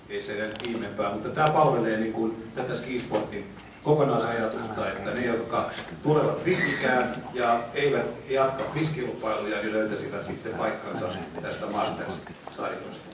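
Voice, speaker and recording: A man giving an informal presentation to a meeting. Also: on the low side. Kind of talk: informal presentation of a competition calendar. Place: indoor meeting room, camera in the audience. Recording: echoey meeting room, distant mic, noisy.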